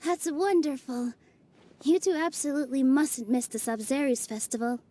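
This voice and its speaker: An actress voicing a cheerful, eager young female dancer in a video game, with a bubbly, animated way of talking. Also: high-pitched, moderate pace, lightly acted. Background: game soundtrack music.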